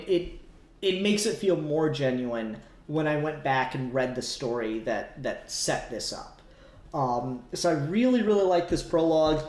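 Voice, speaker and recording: Man, roomy echoey sound, raised pitch, casual podcast chat, informal, free with swearing. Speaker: podcast host.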